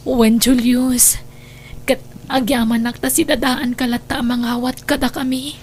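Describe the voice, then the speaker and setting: A woman's voice: fairly high pitch, level tone, measured pace, darkish tone, soft background music, lightly acted. A woman playing a repentant, affectionate young wife and mother in a radio drama. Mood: remorseful, tender. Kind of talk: Ilocano radio drama acting.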